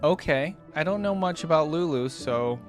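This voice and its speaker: A man's voice: on the high side, lively intonation, normal speed, over music. A man hosting a reaction video, commenting casually and musing aloud in an informal, conversational way. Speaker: host of a reaction channel.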